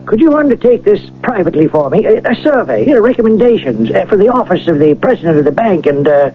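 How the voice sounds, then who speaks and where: A man playing a fussy, hesitant, self-important bank president in a radio drama, with a husky voice and halting delivery. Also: high voice, sing-song, slight background noise. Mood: eager, blustery. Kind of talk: 1980 radio drama acting. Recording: thin, muffled old radio broadcast.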